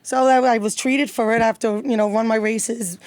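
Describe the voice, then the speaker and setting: Raspy, loud fifty-year-old woman. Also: on the high side, fairly even intonation, moderate pace. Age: 50s. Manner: blunt, loud, profane. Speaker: guest. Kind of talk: casual talk show chat.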